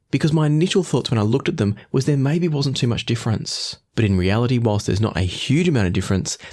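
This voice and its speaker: Fast-talking man reviewing audio gear. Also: fairly low pitch, darkish tone. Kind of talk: product review to camera, explanatory.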